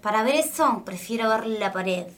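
A woman's voice: on the high side, expressive, moderate pace, noisy background, close to an everyday voice. A woman playing a nineteen-year-old girl, weary and curt, in a radio drama.

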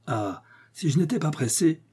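A brash young swordsman from a storybook, always in a rush and quick to bristle, as a male reader puts him on. The voice sits higher than the reader's own, with a soft husky grain and a heated, defiant push. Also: sing-song, full character voice. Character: young hot-headed male Gascon swordsman.

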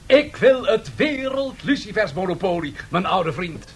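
An actor playing a confident, ambitious industrialist in a radio drama, speaking in a measured, persuasive and formal way. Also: male voice, big pitch swings, soft background music. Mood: expansive, ambitious. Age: 30s. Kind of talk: radio play acting. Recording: faint noise and rumble, 1970s radio.

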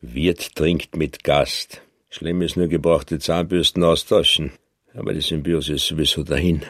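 A laconic innkeeper with an extremely deep voice. He is gruff and deadpan, with terse lines in a dry-sounding radio drama recording. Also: measured pace, dry recording, heavily acted. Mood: dry, resigned.

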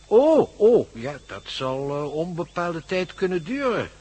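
Keen thoughtful scientist, radio play, fairly high lively voice, explains things. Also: male voice, measured pace, slightly rough, heavily acted. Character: absorbed, enthusiastic male scientist examining mysterious seeds. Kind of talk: radio drama acting.

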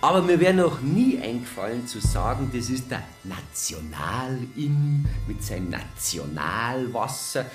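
A plain-spoken Bavarian townsman holds forth in a roomy hall. He is deliberate and sardonic, drawing words out slowly with a very lively melody. It sounds like a folksy radio play speech. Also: medium pitch. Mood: deliberate, wry. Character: plain-spoken, stubborn male Bavarian townsman speaking up at meeting. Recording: roomy hall with faint music.

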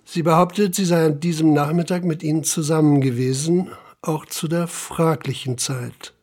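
Actor as husky, formal police investigator. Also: male voice, fairly high pitch, fairly even intonation, darkish tone, lightly acted. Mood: stern questioning. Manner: formal, deliberate questioning. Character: stern male police investigator, formal, methodical, churchgoing. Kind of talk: radio drama interrogation and report narration.

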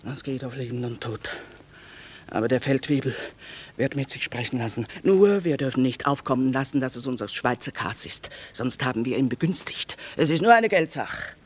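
Tough middle-aged trader mom in a radio drama, blunt haggler, earthy. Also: female voice, extremely low pitch, animated, normal speed, breathy edge, heavily acted. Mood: blunt, bargaining. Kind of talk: German radio play acting.

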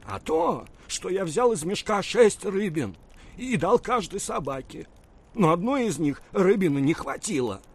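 An actor playing an anxious, uneasy frontier hunter in an audio drama, worried and sometimes halting in his speech. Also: high voice, sing-song, slightly rough, full character voice. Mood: puzzled, uneasy. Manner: worried, halting and stammering when agitated. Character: Bill, anxious, uneasy male frontier hunter and sled driver. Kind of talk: Russian audio drama acting.

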